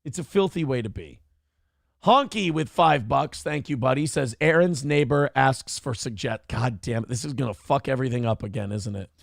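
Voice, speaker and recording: A man's voice: medium pitch, animated, moderate pace, gravelly, dry recording, studio quality. A man hosting a morning show, bantering casually and profanely, rambling and exasperated as he reads out donor messages.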